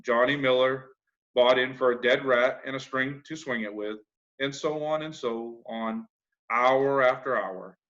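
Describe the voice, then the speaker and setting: Male storybook narrator, amateur and measured, mid-pitched, slightly dull lo-fi recording. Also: fairly bright tone. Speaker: fire chief reading to the community. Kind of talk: storybook narration, read aloud.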